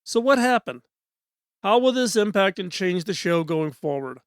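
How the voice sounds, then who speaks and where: A middle-aged man hosting a podcast in a studio. He talks in an earnest, measured, scripted way, and his voice is hoarse. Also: high voice, expressive, no echo. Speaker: podcast host.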